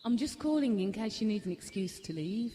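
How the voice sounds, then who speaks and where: A woman's voice: medium pitch, expressive, a little fast, mellow, lightly acted. A woman playing an inquisitive, consoling friend on a phone call in an audio drama. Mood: bright, curious. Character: Rachel, Laura's concerned, chatty, supportive woman friend on the phone. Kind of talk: naturalistic audio drama acting. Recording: long reverb with clear background noise.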